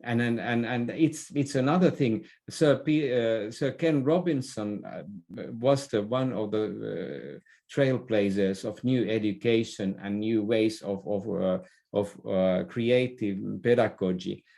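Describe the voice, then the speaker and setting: A middle-aged man from Finland with a Finnish accent, speaking as an opinionated guest in a podcast interview, halting and repeating words as he makes his points. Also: on the low side, vocal fry, warm tone. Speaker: interview guest, author and business thinker.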